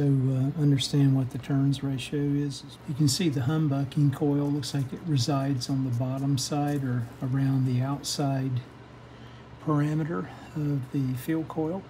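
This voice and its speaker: Male how-to host, soft dark tone, methodical and hesitant, unscripted bench demo, some background noise. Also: mid-range voice, poor audio. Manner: hesitant, methodical step-by-step explaining. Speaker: host of a radio repair how-to channel. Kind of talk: unscripted hands-on workshop demonstration. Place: indoor home workshop bench.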